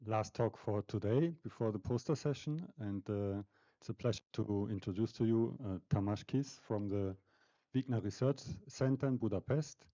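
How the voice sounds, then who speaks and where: A man chairs a conference session and gives a brief, formal introduction. His voice is very dark and low. The sound is dry and dull. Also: normal speed, dry recording. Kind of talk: introducing a speaker.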